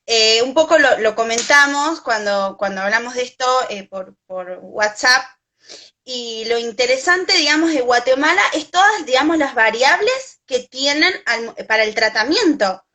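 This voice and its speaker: An Argentine woman talking through an idea in casual conversation, her voice bright and a touch high. She pauses often with fillers and restarts, sounding like a Spanish teacher explaining to a colleague. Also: expressive. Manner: thoughtful, many fillers and restarts.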